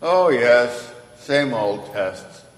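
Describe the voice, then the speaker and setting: A man's voice: mid-range voice, expressive, drawn-out words. An actor playing a kindly, emphatic old man who believes he is Santa, in an old-time radio play. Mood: disappointed. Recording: echoey hall with long reverb.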